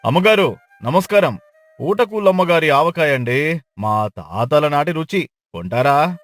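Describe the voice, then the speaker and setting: A man playing a lazy but hopeful village man in a dramatized folk tale, coaxing and boastful, with drawn-out delivery. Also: on the high side, expressive, no room sound, soft background music. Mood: coaxing, polite.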